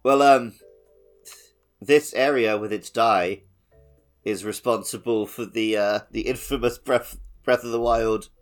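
A man's voice: mid-range voice, steady tone, a little slow, soft background music. A man co-hosting a video game podcast, talking in a casual conversational style. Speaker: podcast co-host.

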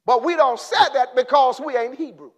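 A man preaching a sermon in an impassioned way, rising to shouts and then dropping low. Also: moderate pace.

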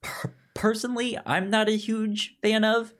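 A man's voice: high-pitched, flat delivery, measured pace, a bit bright. A man co-hosting a podcast, giving recommendations in casual conversation, often repeating and restarting his words. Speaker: podcast co-host.

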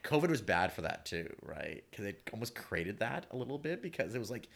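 A man with a very low, gravelly voice talks casually as a panel guest. His pitch swings widely, and he speaks haltingly, doubling back on words with emphasis. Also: sing-song, a bit bright.

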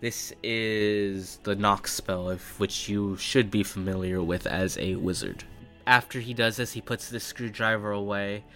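Male game master, fairly low voice, slow pace, descriptive fantasy narration, halting phrasing, faint music underneath. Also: fairly even intonation, a bit bright. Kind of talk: improvised tabletop game narration. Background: faint background music.

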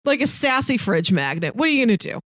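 A woman's voice: on the high side, sing-song, fast talker, a bit bright, dry recording, clean studio sound. A young adult woman co-hosting a podcast, chatting casually in a wry, chatty way with lots of "like" thrown in. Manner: chatty, wry, peppers talk with "like". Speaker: podcast co-host. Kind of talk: casual movie podcast chat.

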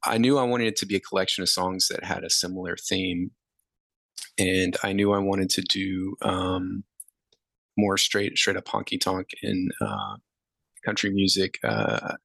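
Deep gravelly-voiced male musician. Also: unhurried, no room sound. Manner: relaxed, rambling, many fillers. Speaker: musician guest. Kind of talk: casual interview answers.

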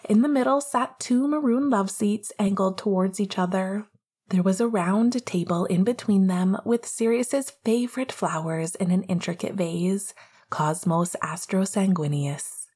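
A woman's voice: medium pitch, measured pace, dark tone, slightly rough. A woman reading fiction aloud as scripted narration, in the manner of an audiobook reader.

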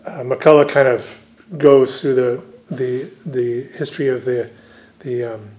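Man, grew up speaking Dutch, scholar, author, interview answers, hesitant, frequent fillers, mid pitch. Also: fairly even intonation, a little slow, a little mellow. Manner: hesitant, many fillers and restarts.

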